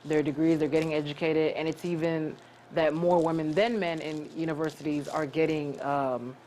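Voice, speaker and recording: A young woman from Cameroon, a graduate student, answering interview questions earnestly with many pauses and restarts. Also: low-pitched, fairly even intonation, mellow, slight background noise, low-quality recording.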